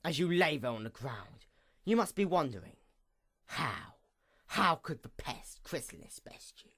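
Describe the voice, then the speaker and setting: A performer voicing a bitter, vengeful pony goddess of the night in a grandiose, formal dramatic monologue that moves between outbursts and hushed menace. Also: medium pitch, sing-song, measured pace, husky, heavily acted. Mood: cold, menacing. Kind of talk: dramatic reading, theatrical villain monologue.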